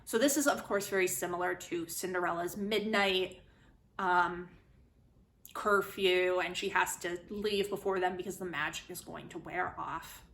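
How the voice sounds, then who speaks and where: A woman giving a casual, chatty spoken book review, retelling and commenting in an animated way. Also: on the low side, unhurried. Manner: animated, chatty, acts out quotes informally. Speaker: book reviewer, host of a literary video series.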